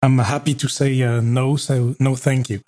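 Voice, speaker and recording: A man's voice: mid-range voice, flat delivery, normal speed, darkish tone. A man from Belgium hosting an interview podcast, asking questions conversationally and often backchanneling. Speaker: podcast host. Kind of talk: casual interview talk.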